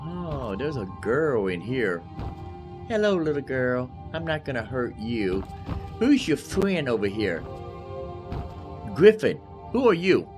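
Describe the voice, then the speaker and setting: A man's voice: medium pitch, big pitch swings, takes it slowly, music in the background. A man giving casual let's-play commentary, thinking aloud and repeating himself as he goes. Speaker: gamer, host of a roleplay series.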